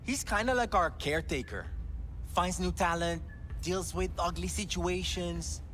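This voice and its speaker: An actor playing a nervous young male club worker who hedges and stumbles through his answers over soft club music. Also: high-pitched, a little slow. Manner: hesitant, evasive, fidgety.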